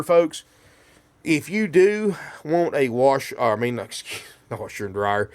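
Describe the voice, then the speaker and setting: A man giving a folksy sales walkthrough of a motorhome while getting over a cold. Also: mid-range voice. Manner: folksy, keeps saying "folks". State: has a cold. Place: inside a motorhome.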